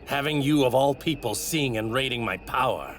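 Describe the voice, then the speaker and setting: A male actor voices a sadistic, god-like anime villain who relishes his own superiority. His gravelly voice is sharp and forceful, swooping theatrically as he savors each haughty word. Faint noise sits in the ripped dub audio. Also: mid-range voice, animated, moderate pace, natural voice. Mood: smug, intrigued. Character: arrogant, sadistic god-like villain in a stolen warrior's body. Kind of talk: English anime dub acting.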